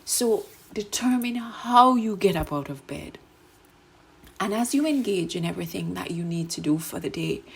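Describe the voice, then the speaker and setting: A woman giving a gentle, soothing self-care reflection, sometimes dropping to a whisper. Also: medium pitch, slightly rough. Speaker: segment presenter.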